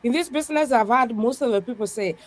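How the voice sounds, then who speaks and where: A woman's voice: a little high, fast. A woman pastor speaking earnestly in a conversation, with a strong voice, who often adds "yeah" as she talks. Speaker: pastor, guest. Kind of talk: podcast conversation, reflective.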